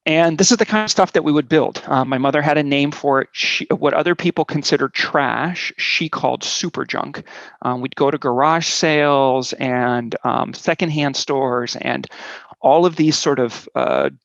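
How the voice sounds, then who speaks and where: A man's voice: medium pitch, normal speed, vocal fry, fairly bright tone, no room sound, lo-fi sound. A man in his forties, raised in Switzerland, telling his personal story in a conversational talk, with many "um"s and a tag of "right?". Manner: conversational, frequent "right?" and "um" fillers. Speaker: guest speaker.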